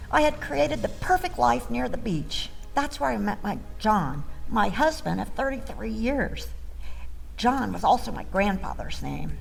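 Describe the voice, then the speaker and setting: A woman's voice: fairly high pitch, vocal fry, heavily acted, poor audio. An older woman performing a nostalgic, grateful granddaughter telling her life story in a sentimental, scripted radio theater monologue. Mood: grateful, tender. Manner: reminiscent, sentimental. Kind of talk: scripted first-person storytelling.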